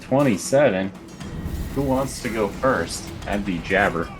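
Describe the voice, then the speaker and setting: A man with a fairly low voice runs a tabletop roleplaying game online as game master. He narrates and manages the players' turns, with soft music faintly behind him. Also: animated, measured pace.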